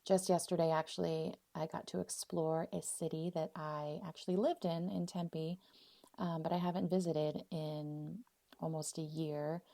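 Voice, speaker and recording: Low, very creaky American woman podcaster. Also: level tone, measured pace, warm tone, no room sound. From Arizona, US; born in Poland. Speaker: podcast host. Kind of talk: unscripted solo podcast, casual and personal.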